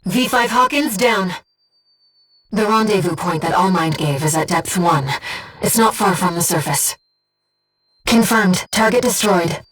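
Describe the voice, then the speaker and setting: A woman voicing a gentle, earnest, disembodied female companion in a video game, guiding a pilot with composed, precise callouts and briefings. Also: lowish voice, a little slow, long pauses, distant mic, faint music behind, poor audio. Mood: alert, urgent. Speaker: mission support companion.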